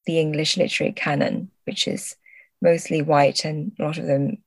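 A woman's voice: deep voice, steady tone, moderate pace, gravelly, dry recording. A woman speaking as a literary translator in an interview, reflective and hesitant as she searches for words.

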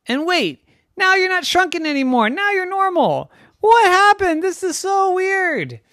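A woman's voice: fairly low pitch, wildly swooping pitch, moderate pace, no echo, clean studio sound. A woman voicing a startled mother and father in a children's story, emphatic and questioning. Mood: astonished. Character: startled, exclaiming mother and father of young boys.

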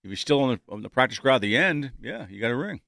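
A man's voice: medium pitch, sing-song, fast, gravelly, sharp tone, dry recording, clean studio sound. A man hosting a sports talk show, bantering casually in a blunt, opinionated way and often cutting in.

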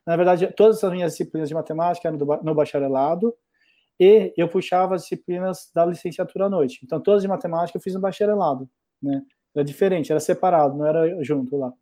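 A man's voice: on the high side, quick pace, warm tone. A middle-aged man from Brazil, a mathematics professor, talking reflectively in a casual conversation with many restarts.

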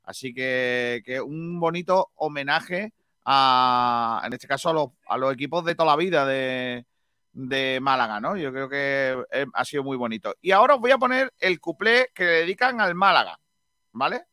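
A man hosting a live radio show, chatting in a relaxed way about carnival songs. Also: medium pitch, moderate pace, dry recording, studio quality. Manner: chatty, relaxed, trails off and restarts often. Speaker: radio host.

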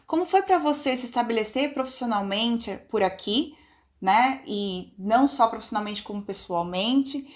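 A woman hosts a science podcast and asks her guest questions in a fairly high voice. She sounds enthusiastic and openly admiring. Her questions are long and carefully framed. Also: expressive, moderate pace. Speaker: podcast host.

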